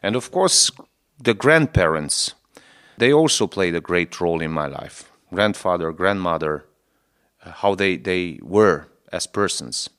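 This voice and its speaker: Low-voiced hungarian-speaking bishop, 40s, earnest, stops and restarts a lot in english. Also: male voice, animated, fairly bright tone. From Romania, Transylvania. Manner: halting, searching for words, earnest. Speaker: Lutheran bishop. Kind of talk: radio interview answers.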